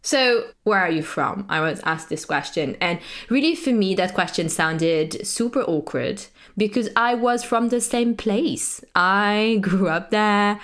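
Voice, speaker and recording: A woman's voice: fairly low pitch, a bit bright. A woman from France hosting a podcast, speaking in an earnest, reflective monologue with frequent "you know" and "um". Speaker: podcast host.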